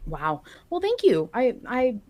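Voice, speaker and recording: A woman's voice: fairly high pitch, wildly swooping pitch, measured pace, darkish tone. A woman hosting a podcast, talking casually and informally in a chatty way. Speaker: podcast host.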